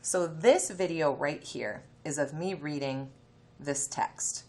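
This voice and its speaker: A woman's voice: low voice, slow, darkish tone. A woman teacher giving a reading lesson, explaining instructions to children, sounding out letters and reading a passage aloud. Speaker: elementary teacher.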